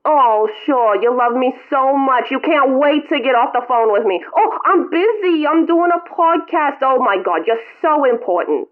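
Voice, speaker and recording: A self-pitying old mother, put on by a woman, nags down a thin, tinny phone line. Her high voice swoops dramatically, soaked in guilt and melodrama. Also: animated, normal speed, hardly any pauses. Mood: nagging. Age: over 60. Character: guilt-tripping elderly mother in a retirement home.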